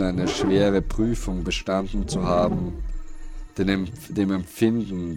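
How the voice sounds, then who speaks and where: Slow, deep-voiced male narrator. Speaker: radio play narrator. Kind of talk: scripted literary narration of a dystopian radio play. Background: prominent music behind the voice.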